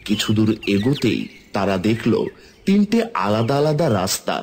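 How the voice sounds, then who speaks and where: A man's voice: fairly low pitch, very animated, normal speed. A man narrating a scripted children's fairy tale as storyteller.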